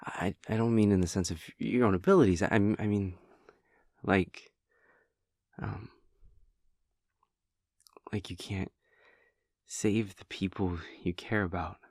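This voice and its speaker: A man's voice: low-pitched, fairly even intonation, slow, long pauses, no room sound, studio quality, exaggerated voice. A man playing a shy, awkward young demon hunter in an anime audio drama, stammering, hesitant and apologetic. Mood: troubled, apologetic.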